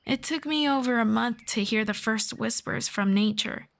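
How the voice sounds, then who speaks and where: A woman playing a gentle, earnest elf friend in a soft-spoken, measured ASMR fantasy roleplay. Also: on the high side, gravelly. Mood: gentle, reflective. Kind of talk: slow scripted ASMR roleplay.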